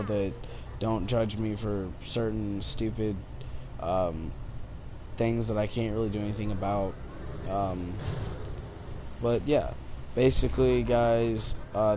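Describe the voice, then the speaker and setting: A young man rambling casually and hesitantly through a personal story while he plays a video game. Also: medium pitch. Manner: hesitant, halting, trailing off. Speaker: gaming video creator. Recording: clearly noisy amateur recording. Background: noise and video game sounds.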